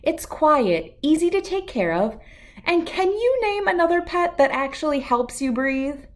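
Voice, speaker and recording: A woman's voice: high-pitched, very animated, measured pace, pitched above the performer's own voice. A woman voicing an earnest, eager little girl in a storybook, insistent and devoted. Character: earnest, devoted little girl who loves her tree.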